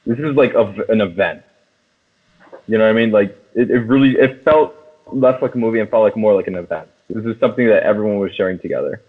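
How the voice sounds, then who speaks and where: Young male podcast co-host, low voice, slangy and enthusiastic, phone-like sound with long reverb. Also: quick pace, breathy edge. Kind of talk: casual film discussion among friends.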